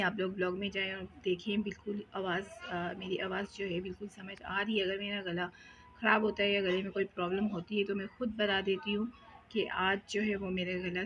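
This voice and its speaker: A woman's voice: mid-range voice, fairly bright tone, muffled recording, a little hiss or hum. A young woman chatting casually as she vlogs her housework at home, rambling with many fillers. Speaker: vlogger. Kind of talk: casual home vlog narration.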